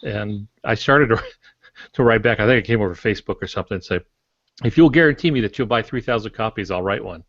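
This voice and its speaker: An older man, a novelist, talking casually on a writing podcast in a halting, matter-of-fact, anecdotal way. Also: fairly low pitch, a bit bright, no echo. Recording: dull lo-fi podcast audio.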